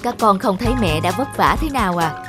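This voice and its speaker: A woman playing a firm, caring mother in an animated moral tale, speaking in a measured, explanatory, motherly way. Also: medium pitch, natural voice. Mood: gently persuasive. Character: firm, caring mother of two young daughters. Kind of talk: animated cartoon dubbing, clear instructive dialogue. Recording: music behind the voice.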